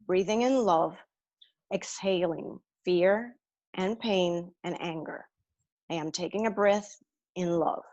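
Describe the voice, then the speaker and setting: A woman leading a guided breathing meditation in a slow, soothing, deliberate way. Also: fairly low pitch, darkish tone, lo-fi sound.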